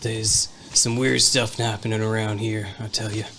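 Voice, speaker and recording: A man voicing a wary rustic motel local in a tabletop game, speaking in a folksy, halting, ominous way. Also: fairly low pitch, little pitch movement, sharp tone, a little hiss or hum. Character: wary rustic motel local, cagey about strange happenings.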